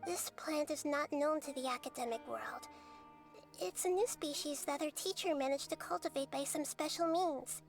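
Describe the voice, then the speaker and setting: A small, childlike goddess with a very high, girlish voice and a gravelly edge of fry. She speaks softly and carefully, thoughtful and wise beyond her size. Game music plays quietly behind her. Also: moderate pace. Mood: pensive. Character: gentle wise childlike goddess, girl-sized.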